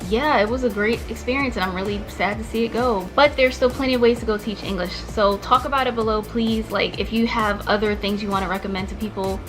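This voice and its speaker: A woman's voice: mid-range voice, steady tone, fast, few pauses, over music. A woman in her twenties talking casually to camera, chatty and full of restarts. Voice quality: chatty, many likes and restarts. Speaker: vlogger, former teacher.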